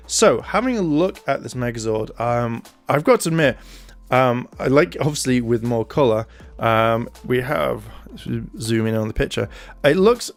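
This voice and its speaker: A man in his thirties giving casual first-look commentary to camera in a hesitant, rambling way, recorded close on a handheld recorder in a small room. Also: medium pitch. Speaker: YouTube toy reviewer. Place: small indoor room at home. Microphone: handheld recorder close to the mouth.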